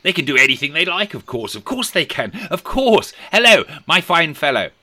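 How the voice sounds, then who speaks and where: A man voicing a gleeful, scheming male miser who exclaims loudly and theatrically. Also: on the high side, sing-song, normal speed, pitched above the performer's own voice, exaggerated voice. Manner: shouting, exclaiming, manic glee.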